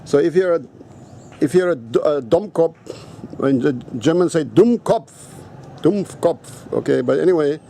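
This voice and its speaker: A man's voice: fairly high pitch, animated, a little slow, gravelly, mellow, slight background noise. A native Afrikaans-speaking man vlogging outdoors in a casual, rambling and blunt way, swearing now and then. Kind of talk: casual rambling vlog talk.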